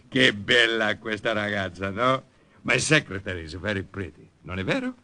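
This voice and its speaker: A genial older Italian nobleman with a thick Italian accent, warmly hospitable and rambling. His pitch rises and falls freely, and he pauses on fillers. It is a 1940s radio drama. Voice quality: expansive, hesitant with fillers, drops into Italian. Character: jovial older Italian count, hospitable host.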